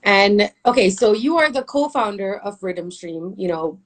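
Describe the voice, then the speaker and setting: A woman hosting an interview, asking questions in a chatty, animated way. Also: mid-range voice, unhurried. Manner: chatty and animated, laughs often, asks follow-up questions. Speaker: interview host.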